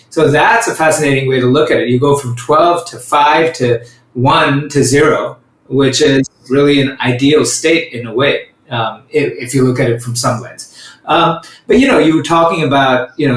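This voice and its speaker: A man hosting a podcast interview, speaking in a measured, reflective way and slowing down to think aloud. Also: medium pitch. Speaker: podcast host. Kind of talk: conversational interview. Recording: roomy echo.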